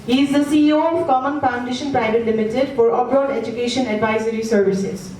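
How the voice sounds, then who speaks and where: High-voiced woman announcing in a hall. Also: fairly quick, darkish tone, slight background noise. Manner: formal, introduces speakers by title. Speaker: master of ceremonies. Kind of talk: formal event announcing. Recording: echoey hall from far off.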